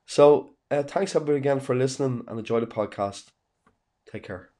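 A man's voice: mid-range voice, brisk, mellow. A man hosting a podcast, introducing his guest with enthusiastic, repeated praise and frequent "um"s. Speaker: podcast host. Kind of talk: podcast intro and interview chat.

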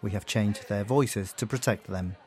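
A man, a foreign correspondent, narrating a scripted radio news report in a calm, measured way. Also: on the low side, slight background noise.

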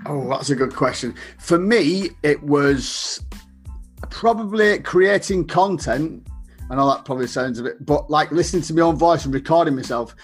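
A man's voice: fairly high pitch, normal speed, slightly rough, soft background music. A man with a Northern English accent chatting casually as a podcast guest, often tagging questions onto his remarks. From Northern England. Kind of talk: casual conversational interview.

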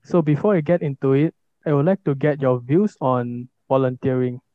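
A man's voice: mid-range voice, fairly even intonation, moderate pace, dry recording. A young man hosting a podcast, giving formal, orderly introductions and questions. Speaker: podcast host. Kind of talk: scripted podcast moderating. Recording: dull lo-fi recording.

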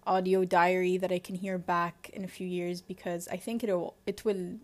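A young woman in her twenties with a Lebanese accent, hosting a personal diary-style podcast and talking candidly and introspectively, often pausing to search for words. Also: fairly low pitch, little pitch movement. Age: 20s. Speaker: podcast host.

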